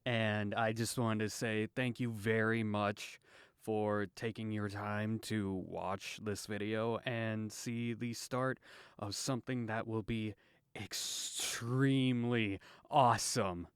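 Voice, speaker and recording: A man's voice: fairly low pitch, steady tone, takes it slowly, clear, resonant tone, dry recording, studio quality. A man, a voice actor, giving a casual, appreciative message to viewers in an informal way.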